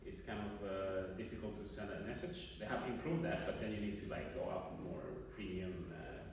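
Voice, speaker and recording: Halting man from Sweden, very echoey. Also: fairly low pitch. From Sweden, born in Ecuador. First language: Swedish and Spanish. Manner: hesitant, halting, searching for words. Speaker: user-test participant, interviewee. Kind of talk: casual interview answers. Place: reverberant indoor room, far from mic. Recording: very echoey room, distant mic, noisy.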